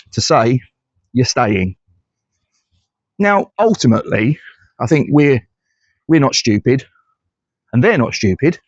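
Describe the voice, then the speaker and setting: A man's voice: mid-range voice, darkish tone, no room sound. Blunt middle-aged man, dull dry sound. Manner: blunt, conversational, hesitant restarts. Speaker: fan channel host. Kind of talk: solo fan commentary.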